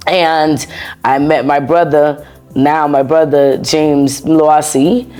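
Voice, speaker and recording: Animated American woman telling her story. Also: low-pitched, takes it slowly, faint music behind. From United States. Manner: animated, repeats words, laughs often. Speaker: interview guest, former soldier. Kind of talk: casual conversational storytelling.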